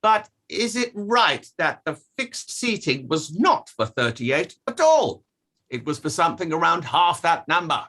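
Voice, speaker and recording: A man's voice: fairly high pitch, very animated, a little slow, sharp tone, heavily acted. A man playing a precise, pressing inquiry barrister, cross-examining formally in a play table read. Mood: sceptical, insistent. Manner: probing, formal, hesitant over document references.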